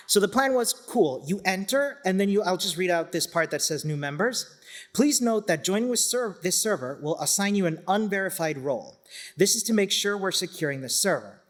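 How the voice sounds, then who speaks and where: A middle-aged man with a slightly high voice speaks as a conference presenter. He is enthusiastic and self-deprecating, dropping conversational asides into an informal talk. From Chile. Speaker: conference speaker, community organiser.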